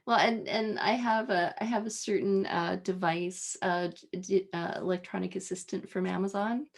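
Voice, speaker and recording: A woman with a Canadian accent hosting a casual interview, friendly and quick to laugh, often restarting her sentences. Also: mid-range voice, steady tone, vocal fry. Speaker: interview host. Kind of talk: casual conversation.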